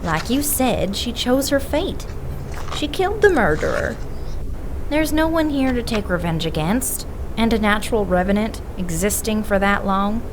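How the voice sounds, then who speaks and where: A woman's voice: mid-range voice, expressive, normal speed, background noise, close to an everyday voice. A woman playing a stern lore-expert detective. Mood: lecturing. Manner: lecturing, firm. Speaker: occult expert investigator. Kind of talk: audio drama acting.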